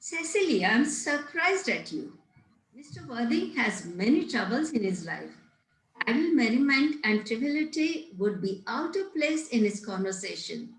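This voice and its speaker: Woman reading as a prim high-voiced governess, echoey room. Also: sing-song, normal speed. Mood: disapproving, lofty. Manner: formal, didactic, scolding. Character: prim, pompous older governess Miss Prism. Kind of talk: play table read, Victorian comedy. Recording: roomy, echoey home recording.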